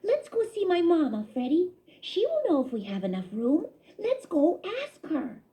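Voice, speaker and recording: Woman playing a little boy mouse, childlike, very high voice, swooping intonation, excitable, friendly, storybook character. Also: moderate pace, heavily acted. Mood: eager.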